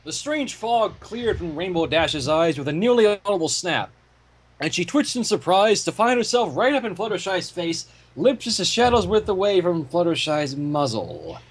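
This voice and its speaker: Animated, higher-pitched man reading a story. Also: normal speed, breathy edge, poor audio. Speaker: fan fiction reader and commentator.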